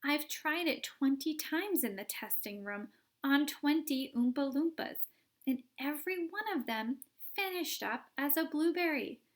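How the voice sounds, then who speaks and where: A woman's voice: high voice, lively intonation, unhurried, voice pushed up. A woman voicing an eccentric male candy maker who talks in an airy, unbothered way. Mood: breezy.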